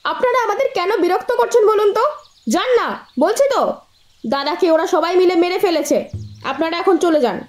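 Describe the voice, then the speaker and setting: An actress playing an agitated, reclusive middle-aged sister in an audio drama, snapping at people in a shrill, dismissive way. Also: female voice, expressive, normal speed, darkish tone.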